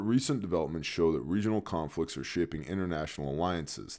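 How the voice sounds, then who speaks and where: A man with a strikingly low, deep voice hosts a news briefing. He reads each item plainly, then drops in dry, sarcastic remarks of his own. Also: darkish tone. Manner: dry sarcastic asides between read news items. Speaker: news commentary host.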